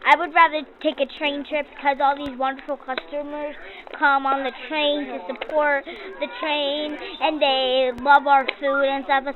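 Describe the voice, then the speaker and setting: A woman's voice: high voice, level tone, unhurried, narrow, tinny audio. A female trainee train hostess giving casual interview answers through a vintage radio filter. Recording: band-limited old-radio sound, crowd chatter behind.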